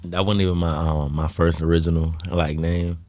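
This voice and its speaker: A young man from Chicago's south suburbs, a guest musician talking in a low-key, hesitant way with lots of fillers. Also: little pitch movement, moderate pace, darkish tone, no echo, studio quality. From South Holland, south suburbs of Chicago. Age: young adult. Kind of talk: casual interview chat.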